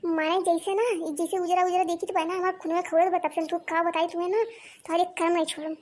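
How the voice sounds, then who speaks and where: A woman's voice: very high-pitched, little pitch movement, quick pace, darkish tone. A woman voicing a fretful, scolding village wife and mother in a comedy cartoon, with an Awadhi accent and a wailing, exclamatory delivery. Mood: exasperated complaining.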